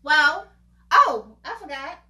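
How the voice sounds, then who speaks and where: Bright-voiced teasing woman podcaster. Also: sits high, lively intonation. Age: 40s. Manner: teasing, chatty, quick to laugh. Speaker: podcast co-host. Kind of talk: casual chat. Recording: roomy with faint background noise.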